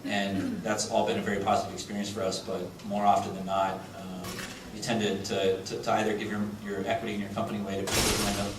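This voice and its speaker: A low, flat-voiced man stumbles through his points with frequent restarts. He is heard from afar in an echoey hall, with clear background noise. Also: fast talker, recorded from a distance. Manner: hesitant, full of restarts. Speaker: business panelist. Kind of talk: panel discussion.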